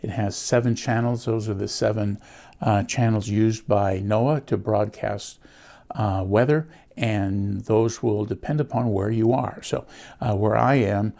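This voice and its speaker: An American man giving a casual product walkthrough, explaining things in an unhurried, plain-spoken way. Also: lowish voice, fairly even intonation, vocal fry, warm tone. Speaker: host of a gadget review channel.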